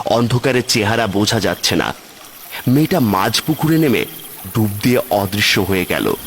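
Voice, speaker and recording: A man's voice: on the low side, very animated, moderate pace, a little hiss or hum. A man giving scripted narration for a cartoon horror story.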